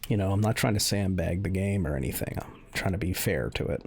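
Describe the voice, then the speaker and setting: Low, gravelly-voiced man commentating. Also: fairly quick, a little mellow. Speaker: gaming video host, commentator. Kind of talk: casual off-the-cuff commentary over game benchmark footage. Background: music under the voice.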